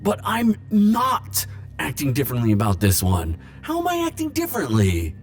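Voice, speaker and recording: A man playing a defensive, lovelorn immortal in an ASMR roleplay, speaking hesitantly with stammers and halting phrases. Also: on the high side, huge pitch swings, slight background noise. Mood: defensive, flustered. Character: flirtatious, defensive male immortal pining for Grim Reaper. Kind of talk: ASMR roleplay monologue to the listener.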